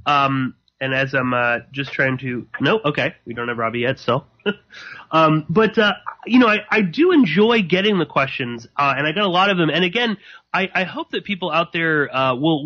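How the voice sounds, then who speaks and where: A man hosting live radio alone, rambling and halting with many fillers, a slight sore throat in his voice. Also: fairly high pitch. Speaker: podcast host. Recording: dull lo-fi.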